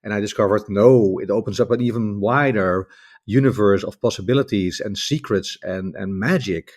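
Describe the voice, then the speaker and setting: A man's voice: lowish voice, moderate pace, a little mellow. A Dutch man speaking English as a guest author, talking over a video call in a rambling, reflective way with many restarts. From Netherlands. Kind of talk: casual conversation.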